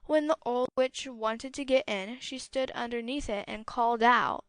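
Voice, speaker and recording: A woman narrating a fairy tale as an audiobook, with a clear storytelling delivery. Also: fairly high pitch, normal speed, no room sound.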